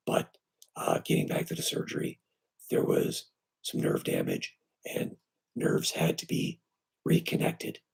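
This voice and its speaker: A man with weak, breathy and raspy vocal cords, hoarse and short of breath, hosting a casual live stream from home on his computer and talking haltingly with many fillers. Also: very deep voice. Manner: halting, with many fillers and restarts, lively when greeting viewers. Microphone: computer microphone.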